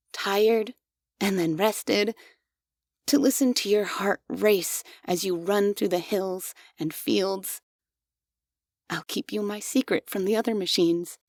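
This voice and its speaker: Woman playing a devoted robot maid, slow soft-spoken delivery, gravelly low voice, dry close sound. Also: dry recording. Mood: eager, obsessive. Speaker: ASMR roleplay performer. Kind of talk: scripted ASMR audio roleplay.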